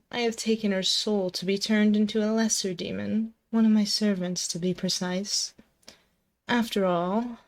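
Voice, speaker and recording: A woman's voice: mid-range voice, darkish tone, lightly acted. A woman playing a possessive, dominant and seductive female demon in an intimate, close-miked ASMR roleplay, commanding, teasing and doting. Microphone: close binaural microphone.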